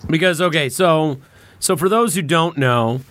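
A man's voice: fairly high pitch, measured pace, a bit bright, dry recording. A man hosting a podcast in casual conversation, rambling along with informal slang and swearing. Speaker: podcast host.

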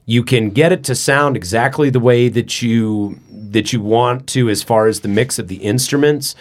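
Male podcast host, casual talkative chat, mid pitch, frequent restarts. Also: normal speed. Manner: chatty, joking, many restarts.

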